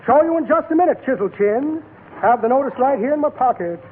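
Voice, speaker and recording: A man's voice: extremely high pitch, animated, a little fast, breathy edge, heavily acted. An actor playing a shady, wisecracking old man with blustery, theatrical delivery in 1940s radio comedy. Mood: pompous bluster. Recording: tinny noisy 1940s radio, audience behind.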